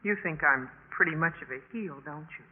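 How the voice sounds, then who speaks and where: An actress playing an excitable young college student in 1950s radio drama style, breaking off and restarting with emotion. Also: female voice, sits low, moderate pace, slightly rough. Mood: indignant. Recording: tinny, narrowband 1950s radio recording, faint music.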